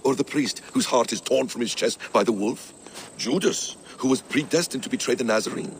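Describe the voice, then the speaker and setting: An actor playing a sly, assured sceptic in a video game, challenging with pointed rhetorical questions. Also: male voice, medium pitch, brisk, slight background noise, natural voice. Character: probing, sceptical male debater, sly and assured. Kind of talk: video game cutscene acting.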